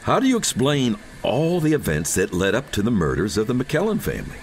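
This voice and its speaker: A man's voice: medium pitch, wildly swooping pitch, a little fast, slight background noise. A man playing a smug, condescending self-styled psychic in an audio horror story, speaking with theatrical emphasis. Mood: insistent.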